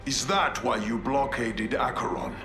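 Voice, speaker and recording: A man's voice: mid-range voice, a little slow, close to an everyday voice. A man playing a stern space marine commander. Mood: grave. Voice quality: formal, grave. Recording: echoey game audio with music.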